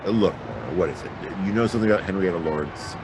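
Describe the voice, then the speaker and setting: A man's voice: sits low, big pitch swings, fast talker, gravelly, heavily acted. A man playing a wry hard-boiled private detective in a radio drama, with sardonic, clipped, slangy delivery. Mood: wary, wry. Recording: noisy track with outdoor city ambience.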